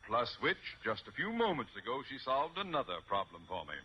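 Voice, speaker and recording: An actor playing a pompous, bossy school principal with an orotund, theatrical delivery in a radio sitcom. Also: male voice, mid-range voice, big pitch swings, brisk, slightly rough. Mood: pompous, dismissive. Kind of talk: 1950s American radio sitcom acting. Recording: dull old radio recording, faint noise.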